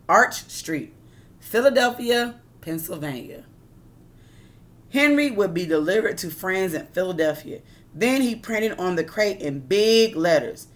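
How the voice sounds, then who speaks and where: A woman reading a picture book aloud like a teacher, expressively, with casual asides that explain and question her listeners. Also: medium pitch.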